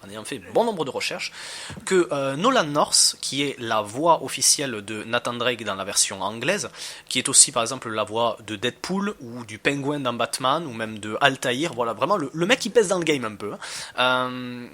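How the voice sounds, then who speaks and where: Bright-voiced man rambling through game commentary. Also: medium pitch, animated, normal speed. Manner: colloquial, slangy, hesitant and rambling. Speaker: video game reviewer. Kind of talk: casual gameplay commentary.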